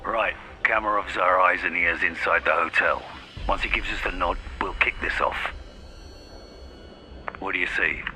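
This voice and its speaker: A patient military spotter in command for a war game. His voice is deep, calm and businesslike, with terse orders. Music is clearly heard behind him. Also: moderate pace, pauses often. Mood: focused, calm. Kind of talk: dramatic video game acting.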